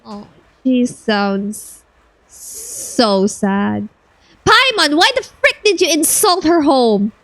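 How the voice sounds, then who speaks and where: A woman's voice: sits high, sing-song, drawn-out words, a bit bright, dry recording, rough recording. A woman giving casual, blunt and slangy reaction commentary as she plays a video game. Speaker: streamer playing a video game.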